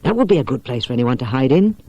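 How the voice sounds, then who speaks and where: Man doing an excitable, high-pitched schoolgirl. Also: fast, dull audio. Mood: excited. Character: excitable, indignant schoolgirl detectives.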